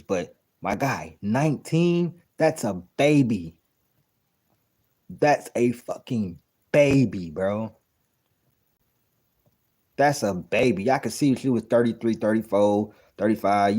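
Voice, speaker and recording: A man's voice: medium pitch, mellow. A man in his thirties giving blunt, profane, incredulous commentary while reacting to a clip, often repeating his points. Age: 30s. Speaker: reaction video host.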